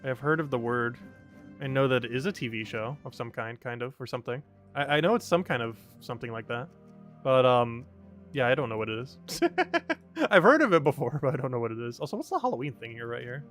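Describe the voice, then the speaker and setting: A young man from New Jersey with a New Jersey accent, chatting casually and rambling as he commentates a game stream, chuckling often. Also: medium pitch.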